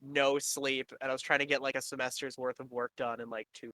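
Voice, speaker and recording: A man's voice: level tone, sharp tone, no room sound. A man in his twenties from Canada, hosting a casual chat and rambling with swearing and laughs. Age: 20s. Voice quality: high-pitched for a man. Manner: rambling and profane, quick to laugh. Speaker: podcast host.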